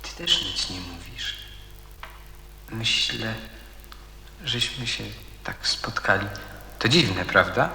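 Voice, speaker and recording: A man's voice: lowish voice, animated, takes it slowly, pauses often, pushed and bright, full character voice. An actor playing a smitten, intense young man in a radio play, earnest and sparing with words. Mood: tender. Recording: echoey reverberant space, faint noise.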